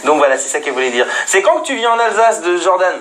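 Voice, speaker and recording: A man's voice: high-pitched, lively intonation, fast talker, noisy background. A man hosting a casual live stream, reading out viewers' questions in an informal, teasing way. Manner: informal, teasing, filler-heavy banter. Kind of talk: casual, joking interview chat.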